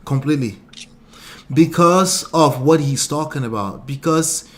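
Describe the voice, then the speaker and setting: A man's voice: fairly high pitch, measured pace. A middle-aged man from Nigeria with an accent mixing Nigerian and American tones, a relationship coach chatting casually and laughing often. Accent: Nigerian, mixed with American. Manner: animated, laughs often, repeats words. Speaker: relationship coach and show host. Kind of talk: casual podcast chat.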